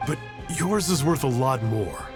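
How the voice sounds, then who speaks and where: A composed, thoughtful man from a video game, acted by a man, talks in measured phrases at a middle pitch. Music runs clearly behind him. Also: lively intonation, natural voice. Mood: reflective.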